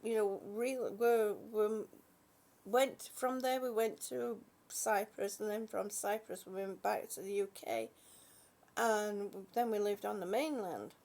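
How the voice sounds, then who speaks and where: A British woman hosting a casual live chat from her bed, greeting viewers warmly as honey and sweetie and sometimes dropping to a soft whisper. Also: medium pitch. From British, born in Singapore. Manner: slow, soft, trailing off into whispers. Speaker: livestream host chatting with viewers. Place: at home in bed.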